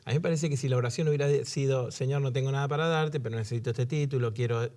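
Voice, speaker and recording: A man speaking in an easygoing Bible discussion. He reads scripture aloud and slips in jokes. His pitch sits in the middle range. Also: rapid-fire, darkish tone. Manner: joking, uses voseo, reads scripture aloud. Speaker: co-host of a Bible study show.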